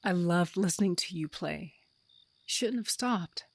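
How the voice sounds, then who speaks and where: A woman's voice: lowish voice, unhurried, vocal fry, dry recording. A woman playing an earnest, frustrated wife and mother in an audio drama, pleading and heartfelt. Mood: tender, encouraging.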